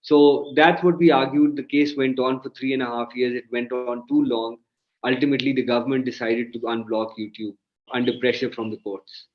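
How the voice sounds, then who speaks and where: Pakistani-born lawyer guy explaining stuff, articulate, mid-pitched, muffled lo-fi audio. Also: flat delivery, moderate pace. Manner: articulate, explains at length with restarts. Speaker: author and lawyer. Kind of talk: interview answers.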